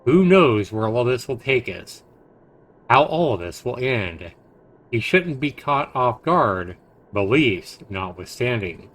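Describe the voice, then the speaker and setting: A man streaming a visual novel, reading it aloud haltingly with stumbles, self-corrections and casual asides. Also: medium pitch, very animated, fairly bright tone.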